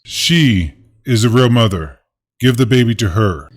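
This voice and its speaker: A man playing a wise, commanding king who speaks in firm, measured pronouncements. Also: medium pitch, animated, breathy edge. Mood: solemn.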